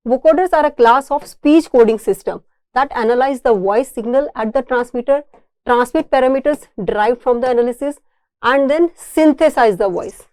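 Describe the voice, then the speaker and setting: A woman lecturer with an Indian accent and a fairly high, soft-edged, mellow voice. She explains technical material step by step, often restating a point in other words. The sound is dry and close, with very little room in it. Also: moderate pace, no room sound. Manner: didactic, repeats and rephrases points. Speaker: engineering lecturer.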